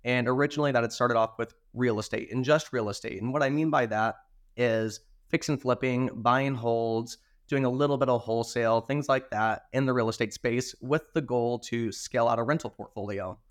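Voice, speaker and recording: A man with a hoarse, slightly worn voice speaks at an ordinary pitch. He explains his work in a confident, steady flow, as a guest talking on a podcast. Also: a little fast. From Arizona. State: hoarse from recent illness. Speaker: podcast guest, property management owner. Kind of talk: casual interview answers.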